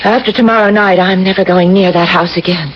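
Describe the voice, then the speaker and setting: A woman's voice: on the low side, steady tone, fairly quick, lightly acted. An actress playing a timid, honest female social worker in a radio mystery drama, hesitant, apologetic and earnest. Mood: worried. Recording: dull, noisy old radio broadcast.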